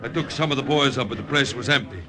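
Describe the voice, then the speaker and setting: An actor playing a hard, vengeful partisan leader with a blunt, taunting manner in radio drama. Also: male voice, mid-range voice, quick pace, natural voice. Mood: driven, angry. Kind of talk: 1950s radio drama acting. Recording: old radio recording with crowd noise.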